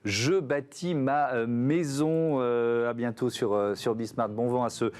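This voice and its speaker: A man's voice: mid-range voice, fairly even intonation, a little slow, a little mellow. Hesitant male TV interview host. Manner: hesitant questioning with many "euh" and repeated words. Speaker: TV show host. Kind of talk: studio interview questions. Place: TV studio.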